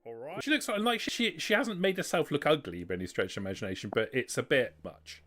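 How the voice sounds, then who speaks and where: A man's voice: fairly high pitch, lively intonation, fast talker, bright, forceful tone. A man acting as game master, narrating and bantering casually with a dry, teasing manner.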